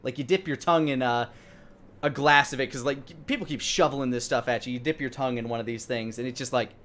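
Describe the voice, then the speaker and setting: A man in his thirties narrating a tabletop role-playing game as game master, in a halting, hesitant way. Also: mid-range voice, a bit bright. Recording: clear background noise.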